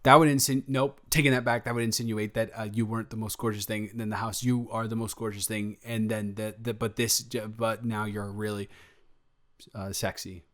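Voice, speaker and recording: A man's voice: on the low side, level tone, normal speed, a bit bright, close to an everyday voice. A man playing an eager, smitten young boyfriend in an improvised intimate audio roleplay, stammering and correcting himself as he goes. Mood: awestruck, smitten. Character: eager, smitten, flustered young boyfriend, sweet and easily overwhelmed.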